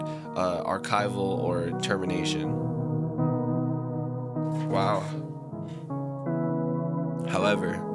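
A young American man doing a casual reaction, reading text aloud haltingly with slangy asides. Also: deep voice. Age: young adult. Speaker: reaction video creator. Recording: prominent background guitar music. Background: prominent instrumental music with guitar.

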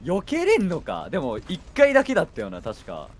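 A man's voice: fairly high pitch, huge pitch swings, fairly quick. A young man chatting casually while playing a co-op game, with clipped, slangy reactions. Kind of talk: casual gaming chat.

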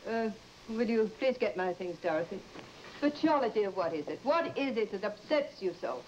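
A woman's voice: medium pitch, sing-song, normal speed, close to an everyday voice. Swooping, fussing mother acted by a woman. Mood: concerned. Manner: theatrical emphasis, addresses others as dear. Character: concerned, gossipy mother of a married daughter, fussing affectionately. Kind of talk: old-time radio horror drama acting. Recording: dull old radio recording with hiss.

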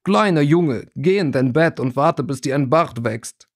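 A man's voice: on the high side, animated, measured pace, no room sound, studio quality. A man voicing an irritated, mocking older teenage boy, a foreign wizard student in an audiobook. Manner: mocking, challenging.

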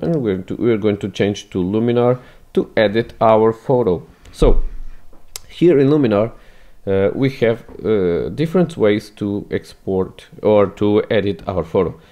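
A man whose first language is Portuguese walks through a photo edit in a screen-recorded tutorial, halting and restarting his phrases as he goes. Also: on the low side, dark tone. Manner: hesitant, many restarts, non-native phrasing.

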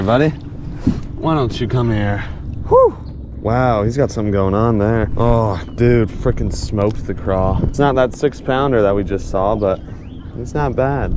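Excitable young man fishing from a kayak. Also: on the low side, background noise. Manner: excitable, laughing, says dude a lot. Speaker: fishing vlogger. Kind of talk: casual running commentary while fishing. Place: outdoors on a kayak on a lake.

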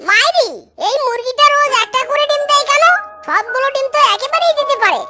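A performer voicing a mischievous, foolishly self-satisfied male fox in a children's cartoon, with a squeaky, cheeky, boastful delivery. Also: a little fast, over loud music. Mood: smug, scheming. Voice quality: very high-pitched, squeaky. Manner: cheeky, boastful, repeats a pet exclamation.

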